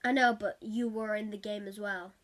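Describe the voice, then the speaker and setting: A woman chatting casually as she tells a story in an animated, giggly way. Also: mid-range voice, normal speed. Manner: animated, giggly, acts out quotes. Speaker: vlogger telling a story.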